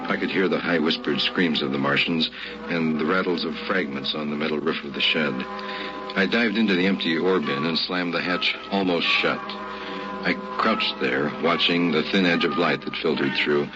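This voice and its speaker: A low, grave narrator tells a grim memory in measured, suspenseful phrases. It is old radio drama, dull in tone, with loud music behind the voice. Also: male voice. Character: grown man grimly recounting his boyhood ordeal. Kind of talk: scripted first-person radio drama narration.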